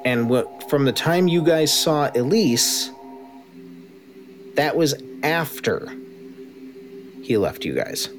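A man's voice: fairly high pitch, animated, takes it slowly, pauses often, faint music behind. A man running a tabletop game as game master, laid-back, calling for dice rolls and narrating.

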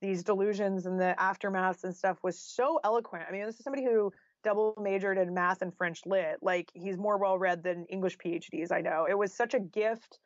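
A woman's voice: mid-range voice, fairly even intonation, fairly quick, few pauses, no room sound, dull audio. A woman author talking as an interview guest in a casual, thoughtful way, often dropping in "like" and "you know". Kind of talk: casual, reflective interview talk.

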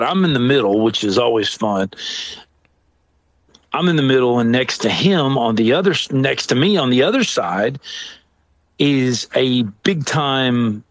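Slow-talking man telling a funny story. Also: mid-range voice, dry recording. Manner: animated, acts out other people's lines, laughs often. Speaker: storyteller guest. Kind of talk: casual comedic storytelling.

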